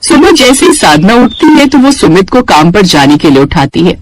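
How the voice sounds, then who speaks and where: A man's voice: high voice, sing-song, moderate pace, no echo, poor audio. A man narrating a scripted story as a storyteller.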